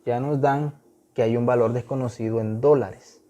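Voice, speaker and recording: A man's voice: mid-range voice, fairly even intonation, moderate pace, warm tone, lo-fi sound. A man teaching a math lesson, explaining step by step, patiently repeating key points and stressing important words.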